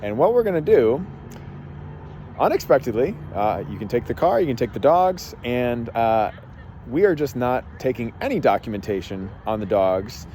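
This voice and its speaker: A man's voice: mid-range voice, lively intonation, moderate pace, dark tone, slight background noise. A man hosting a podcast, telling a long personal story in a rambling, casual way with many fillers and restarts. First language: English. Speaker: podcast host and life coach.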